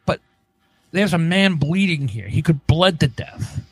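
Slow, animated higher-voiced man on a comedy podcast. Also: slightly rough. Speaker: comedy podcast co-host reading odd news. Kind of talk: casual comic banter and news reading.

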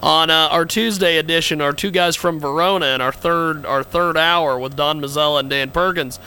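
A man hosting talk radio moves to a programming note in chatty announcer patter. His voice is high and smooth, with a bright, ringing tone. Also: steady tone, normal speed. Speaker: radio talk show host.

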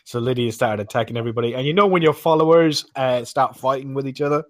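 A man's voice: medium pitch, fast talker, no echo. Man chatting casually, close dry sound. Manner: rambling, searches for names with filler words. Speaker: podcast roundtable panelist. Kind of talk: casual chat, retelling gameplay anecdotes.

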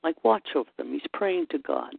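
A woman hosting an informal Bible study chat, unhurried and thinking aloud with frequent pauses and ums. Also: deep voice, expressive, gravelly, slightly rough, no room sound. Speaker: host. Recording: dry, tinny phone-like line.

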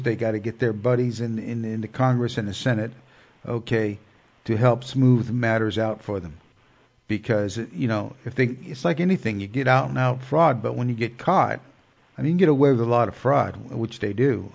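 A man's voice: mid-range voice, gravelly, a little mellow. A man with a cold and a stuffed-up nose, hosting a show in a rambling casual monologue full of fillers and restarts. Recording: dull lo-fi sound.

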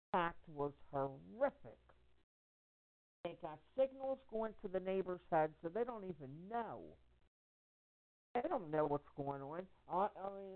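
A woman speaking as a caller on a phone conference, giving impassioned personal testimony in a halting, emphatic way, repeating phrases for weight. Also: fairly low pitch. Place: telephone conference call. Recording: narrow tinny phone-line audio.

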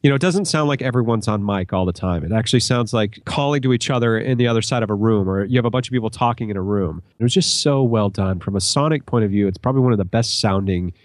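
A man on a podcast panel, chatting casually in an animated, illustrative way. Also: lowish voice, fast, few pauses, dry recording. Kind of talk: casual chat.

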